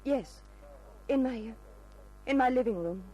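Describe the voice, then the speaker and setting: Breathy, dramatic radio femme fatale. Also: female voice, a little high, expressive, unhurried, warm tone, slight background noise, low-quality recording. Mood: anxious. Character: seductive, desperate glamorous female fashion model. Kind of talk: old-time radio crime drama acting.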